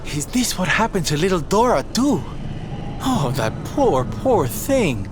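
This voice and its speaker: An actor voicing a flamboyant, tender-hearted adventurer with a theatrical delivery in a video game. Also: male voice, high voice, sing-song, unhurried. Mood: pitying, resolute. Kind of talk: video game cutscene acting. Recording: loud game music underneath. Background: loud background music.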